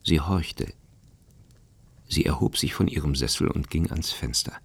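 A man acting as the detached narrator of a radio drama, reading literary prose in a measured, matter-of-fact way. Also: rumbling low, gravelly, slightly rough, no room sound, exaggerated voice. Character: measured, detached male storyteller. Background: plucked guitar music.

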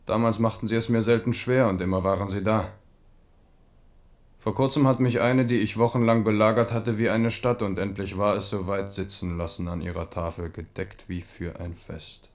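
A man's voice: fairly low pitch, fairly even intonation, normal speed, lightly acted. An actor playing an aging, amorous French king, wry and melancholy, in a German radio drama. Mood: wry, wistful.

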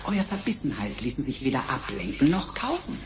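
A man's voice: medium pitch, huge pitch swings, a little fast, roomy sound, noisy background. A man playing a sarcastic computer sidekick who talks in pompous mock-courtly flourishes in a German sci-fi radio play. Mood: pompous, lecturing. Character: mocking, pompous robotic computer sidekick of a detective.

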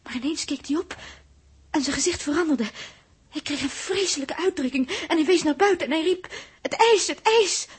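An actress playing an anxious, easily upset young space crew woman in a 1960s radio play, speaking haltingly and emotionally. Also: high voice, lively intonation. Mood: distressed, intense. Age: young adult. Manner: hesitant, emotional, halting with repeated words.

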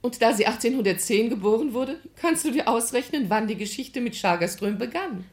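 Woman storyteller, husky, lively intonation, expressive, unhurried, radio drama narration. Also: a little high. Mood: chatty, knowing. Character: animated provincial woman storyteller among friends.

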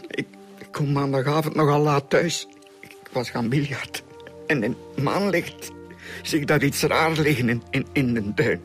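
An actor with a Flemish accent playing a flustered old murder suspect who stammers, in a radio drama. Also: male voice, medium pitch, normal speed, soft background music, natural voice. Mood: shaken. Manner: stammering, repeating words.

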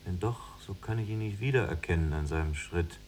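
A male actor plays a conciliatory younger prisoner in a radio drama. The voice is on the low side, mild and reasoning. Faint noise runs beneath it. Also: fairly quick, lightly acted. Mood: pensive, mild. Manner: gentle, reasoning. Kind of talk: German radio drama acting.

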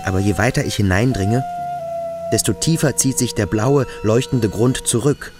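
An actor playing a brooding, troubled schoolboy, thinking aloud intensely in a literary radio play. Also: male voice, fairly low pitch, moderate pace, over music, lightly acted. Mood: awed, searching.

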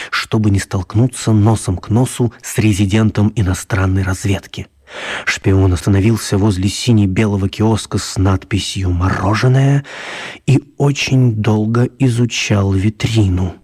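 A man's voice: lowish voice, a little mellow, breathy edge. A man narrating a mock spy adventure as the storyteller of a children's radio play.

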